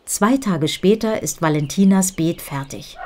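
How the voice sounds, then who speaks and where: Ruhige Vorleserin mit tiefer Stimme. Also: female voice, lowish voice, measured pace, noisy background. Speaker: storybook reader. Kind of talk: scripted picture book narration.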